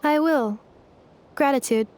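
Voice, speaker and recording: A woman voicing a calm female android who speaks in a formal, precise, mechanical way. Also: sits high, slow pace, slight background noise. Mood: curious. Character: calm female android, literal-minded and polite.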